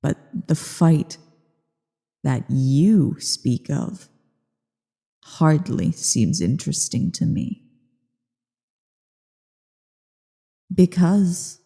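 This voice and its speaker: A woman voicing an ancient evil female dragon in a slow ASMR roleplay monologue, haughty, bored and menacing, with a low, husky, creaky delivery. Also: dark tone, heavily acted. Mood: disdainful, darkly amused. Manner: haughty, disdainful, deliberate. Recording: long cavernous reverb.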